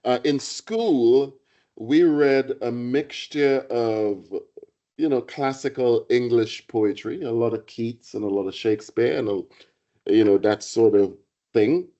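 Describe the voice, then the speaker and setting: A man delivers a public lecture in a mellow, unhurried voice. He speaks reflectively, circling back on phrases as he thinks. The recording sounds muffled and lo-fi. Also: mid-range voice. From Trinidad. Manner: reflective, halting with restarts and fillers. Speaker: guest lecturer, poet.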